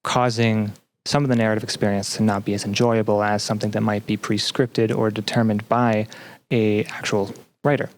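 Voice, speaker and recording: A man with a somewhat low voice talks as an interview guest. He explains things conversationally, with a hesitant delivery, pausing often as he looks for the right word. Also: flat delivery. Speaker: podcast guest, student game developer.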